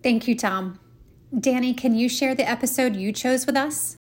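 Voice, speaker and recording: A woman's voice: fairly high pitch, moderate pace. A woman moderating a podcast who hands over to co-hosts in a welcoming way. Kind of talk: hosting handoffs.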